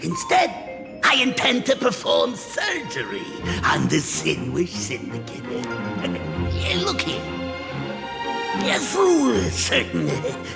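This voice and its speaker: Game crime boss: wily, boastful, gravelly creak, music and long reverb behind. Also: mid-range voice, wildly swooping pitch, slow pace, full character voice. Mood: sly, coaxing. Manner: theatrical, chuckling, drawling interjections like gee and eh. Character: eccentric, wily old crime syndicate boss, theatrical and boastful. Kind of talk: theatrical video game voice acting. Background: game background music.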